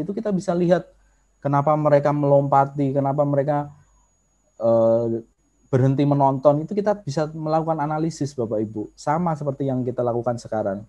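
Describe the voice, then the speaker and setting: A man's voice: on the high side, darkish tone. A man with a Javanese accent teaching an online workshop over a video call, explaining patiently and often addressing his listeners directly. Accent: Javanese Indonesian. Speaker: workshop instructor.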